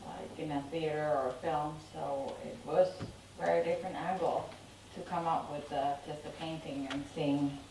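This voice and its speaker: A woman's voice: deep voice, steady tone, moderate pace, few pauses, warm tone, a little hiss or hum. A woman, the costume designer, speaking hesitantly at a film Q&A, trailing off as she searches for words. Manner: hesitant, trailing off mid-thought. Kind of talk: guest answering at a film Q&A. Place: cinema hall. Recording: echoey cinema hall from far off.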